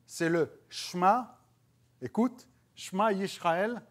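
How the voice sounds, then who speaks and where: A man in his thirties with a French accent preaches from a church stage before dark curtains. His voice rises with emphasis whenever he quotes scripture. He speaks into a headset mic. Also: high-pitched, slow pace. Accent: French (France). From France. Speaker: pastor. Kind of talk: sermon.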